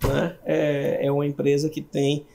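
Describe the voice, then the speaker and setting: A male co-host introduces the guest and adds lively interjections. His voice sits a little high, with a raspy, gravelly texture. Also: unhurried, faint music behind. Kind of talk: casual interview chat.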